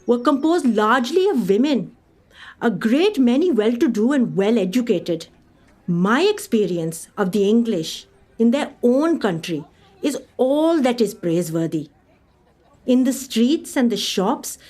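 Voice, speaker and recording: Lively, high-voiced genteel woman traveller. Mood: amused, appreciative. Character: Indian woman traveller of the early 1900s, observant, amused, genteel. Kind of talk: radio play monologue, reading a travel account.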